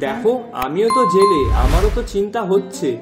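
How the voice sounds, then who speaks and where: A man playing a gentle, fatherly middle-aged village fisherman in a cartoon moral story, talking in a soft, reassuring way. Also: sits high, expressive, a little fast. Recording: roomy echo, music underneath.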